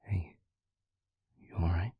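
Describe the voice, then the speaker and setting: A man playing a tender, devoted demon lover in an intimate audio roleplay, speaking gently and hesitantly in a husky near-whisper. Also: no echo, highs cut off, lightly acted. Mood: tender, concerned. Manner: gentle, hesitant, slow and deliberate.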